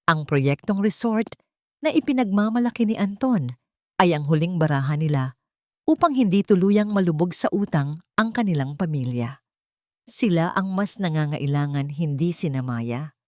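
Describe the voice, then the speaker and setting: A woman's voice: lowish voice, a little mellow, no room sound, clean studio sound. A woman narrating a dramatic audio story in a solemn, theatrical manner.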